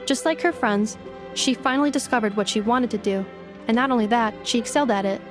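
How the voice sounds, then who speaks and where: Polite, measured female android for a game, acted by a woman, somewhat high, with soft formality over music. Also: close to an everyday voice. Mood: measured, explanatory. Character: composed, polite female robot helper, caring and precise. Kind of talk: video game voice acting.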